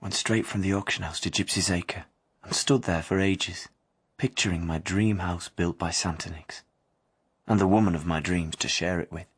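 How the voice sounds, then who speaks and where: A man's voice: low-pitched, fairly even intonation, moderate pace, slightly rough, no room sound. An actor playing a dreamy working-class young man, narrating his love story reflectively and colloquially in a radio drama. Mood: wistful, smitten.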